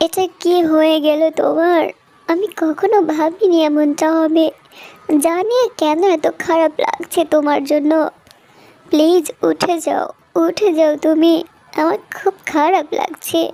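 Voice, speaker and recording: A woman's voice: extremely high pitch, fairly even intonation, a little slow, dark tone, no room sound. A woman voicing a kind, dutiful young daughter, the tender-hearted heroine of a fairy tale cartoon, in an earnest, girlish manner. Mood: grieving.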